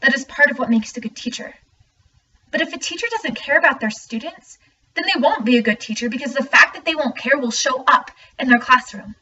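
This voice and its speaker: Female performer as heartfelt, emphatic student monologuist, higher pitch, off-mic with slight hiss. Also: animated, brisk, bright, forceful tone. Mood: earnest, hopeful. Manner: earnest, emphatic. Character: earnest student speaking out about teachers. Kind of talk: scripted dramatic monologue. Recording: muffled, distant mic, faint noise.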